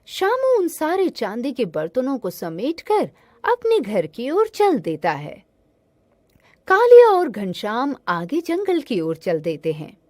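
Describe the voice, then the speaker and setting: A woman's voice: fairly high pitch, darkish tone, no echo. A woman storyteller reading scripted narration for a cartoon tale.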